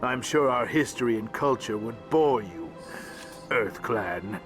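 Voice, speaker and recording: A man's voice: mid-range voice, animated, unhurried, over music, lightly acted. A man voicing a small, grumpy, aggrieved alien diplomat in a video game, curt and world-weary. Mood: curt, irritated. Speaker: ambassador. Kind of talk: video game character dialogue.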